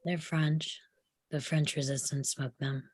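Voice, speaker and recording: A woman playing a reserved young psychiatric patient in a screenplay table read, speaking quietly and hesitantly with a husky voice. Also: sits low, fairly even intonation, unhurried, no room sound. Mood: quiet, guarded.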